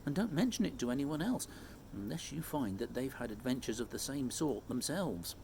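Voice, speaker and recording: A man's voice: medium pitch, expressive, normal speed, a little mellow, a little hiss or hum, close to an everyday voice. A man voicing a kindly, eccentric old storybook professor, musing and questioning himself aloud. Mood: advising.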